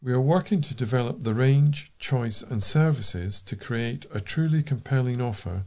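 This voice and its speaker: Guy reading out local news stories off a script, volunteer reader, mid-pitched voice. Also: lively intonation, moderate pace, dark tone.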